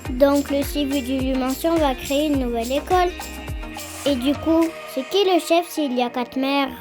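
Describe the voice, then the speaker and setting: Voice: high voice, moderate pace, over music, lightly acted. A performer voicing a curious child co-narrator with naive questions, in scripted narration.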